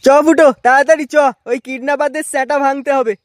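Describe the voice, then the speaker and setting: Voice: high voice, fairly even intonation, fast, no room sound, clean studio sound, natural voice. A performer voicing a brash, boastful village club strongman in a comic cartoon, cocky and crude-mouthed. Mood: defiant.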